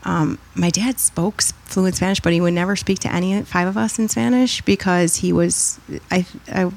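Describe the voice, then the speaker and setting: A woman's voice: lowish voice, steady tone, normal speed, vocal fry, a little mellow. A middle-aged woman from the United States, a podcast guest chatting casually and animatedly, digressing and laughing often. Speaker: podcast guest, author and consultant. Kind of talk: casual interview chat.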